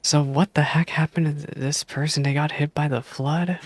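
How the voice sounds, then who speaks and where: A woman streamer chatting casually while reacting to a game, halting and repeating phrases as she talks. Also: sits low, steady tone. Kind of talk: casual live reaction chat.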